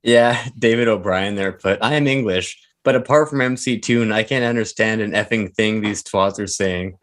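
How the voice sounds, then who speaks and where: A Canadian man with a strong accent of his home country talks loosely with friends on a live stream. His voice is low. He jokes around and throws in mild swearing. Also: normal speed. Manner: chatty, jokey, swears lightly. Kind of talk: casual chat.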